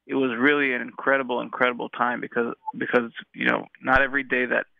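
A man's voice: medium pitch, little pitch movement, quick pace, creaky, dry recording. A man in his thirties with an American accent, a guest telling his story in an earnest, reflective way, with many fillers and restarts. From Israel, raised in the United States. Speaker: interview guest, musician. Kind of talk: casual interview storytelling. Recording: tinny phone-line sound.

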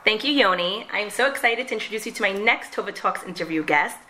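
A woman hosting a radio interview, enthusiastic and quick to react with excited affirmations. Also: mid-range voice, roomy sound, a little hiss or hum. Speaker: interviewer, show host.

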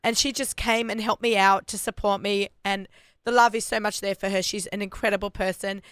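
A woman hosting a radio show, chatty and enthusiastic, with a hoarse voice, talking in a studio. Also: mid-range voice, flat delivery, fairly quick, a bit bright, no echo. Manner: enthusiastic, chatty, laughs often. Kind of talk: casual radio chat.

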